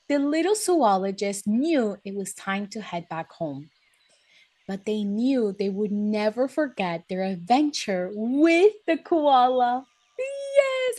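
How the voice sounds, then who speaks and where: Animated, high-pitched woman teaching children. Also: no echo. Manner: gushing, encouraging, exclamatory. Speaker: children's read-aloud and drawing host. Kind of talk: chatty, child-directed read-aloud and step-by-step teaching.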